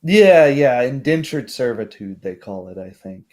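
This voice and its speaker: A man's voice: medium pitch, very animated, measured pace, darkish tone. A man voicing a hesitant, plain-spoken young commoner in a tabletop fantasy game. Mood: hesitant, matter-of-fact.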